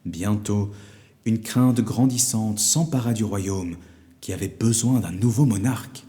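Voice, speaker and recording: A man narrating a scripted fairy tale for children as a storyteller, measured and with dramatic pauses. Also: fairly low pitch, dark tone.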